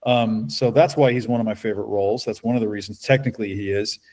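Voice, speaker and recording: A middle-aged man with a low bass-baritone voice, a voice actor talking at length in a casual interview. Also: fast. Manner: relaxed, talkative, storytelling. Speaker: voice actor guest.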